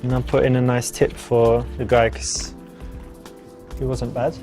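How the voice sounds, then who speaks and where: A man's voice: medium pitch, level tone, unhurried, warm tone, close to an everyday voice. A man playing a friendly single guy on a date, talking in an informal, chatty way. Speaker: dating game presenter and lead actor. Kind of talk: casual conversational acted scenes and advice. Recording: music behind the voice.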